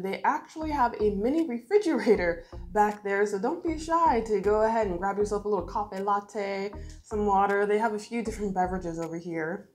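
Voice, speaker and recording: Chatty young woman with a congested voice. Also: mid-range voice. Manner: chatty, animated, addresses viewers directly. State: slightly congested, recovering voice. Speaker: beauty vlogger. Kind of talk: casual vlog tour narration. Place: indoor room at home, talking to camera.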